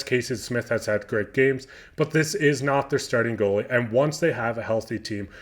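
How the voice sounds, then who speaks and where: A man hosting a hockey news channel, an eager fan going through quotes in loose, unscripted sports commentary, hoarse and losing his voice. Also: mid-range voice.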